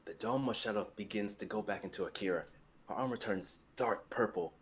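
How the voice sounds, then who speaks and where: A man's voice: lowish voice, brisk. A young man reading stage directions as the narrator of a dramatic fantasy script. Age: young adult.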